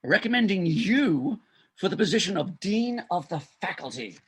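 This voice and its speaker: A man's voice: sits high, very animated, moderate pace, a little hiss or hum. A man playing a desperate, insistent married doctor who cajoles a colleague in a stage farce. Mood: coaxing. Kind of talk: stage farce readers theater acting.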